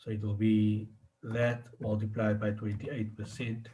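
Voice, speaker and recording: Low-voiced man tutoring, halting delivery. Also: monotone, warm tone, slight background noise, rough recording. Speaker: accounting tutor. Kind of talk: informal one-on-one tutoring, explaining.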